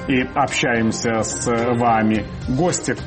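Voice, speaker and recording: A man's voice: mid-range voice, steady tone, slow pace, over music. A man hosting a current affairs interview in a studio, introducing the program and putting questions to his guests. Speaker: host.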